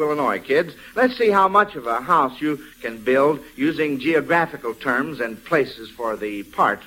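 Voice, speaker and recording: A man's voice: medium pitch, pushed and bright, poor audio. A man hosting an old-time radio quiz show, encouraging and affirming as he goes, partly ad-libbing. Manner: encouraging, affirming children's answers.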